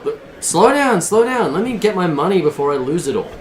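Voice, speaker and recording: A man's voice: high-pitched, very animated, brisk, roomy sound. A man playing a cavalier, wisecracking space smuggler in an audio drama, breezy and glib. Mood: wary. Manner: breezy, glib, mock-innocent.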